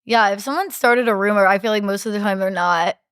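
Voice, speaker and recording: A woman's voice: mid-range voice, fast talker, fairly bright tone, clear, resonant tone, no echo. Young woman, easygoing guest chatter, filler-heavy, dry booth sound. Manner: chatty, many "like" fillers, laughs often. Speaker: hairstylist guest. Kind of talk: casual interview chat.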